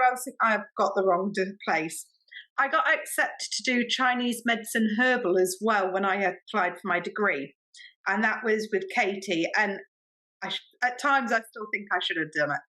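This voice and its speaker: A woman with an English accent, a podcast guest telling stories in a chatty, expressive way and acting out quoted dialogue. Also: a little high, poor audio. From Britain. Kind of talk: animated storytelling in casual interview.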